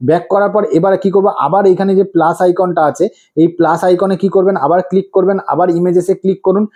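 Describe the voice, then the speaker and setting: A man's voice: sits high, level tone, fast talker, mellow. A man hosting a step-by-step tutorial, instructive and repetitive, often prompting with rhetorical questions. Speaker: tutorial host.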